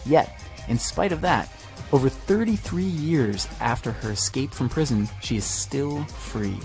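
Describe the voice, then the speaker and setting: A man's voice: mid-range voice, animated, measured pace, darkish tone, soft background music, low-quality recording. A man co-hosting a podcast, reading scripted news. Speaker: podcast co-host.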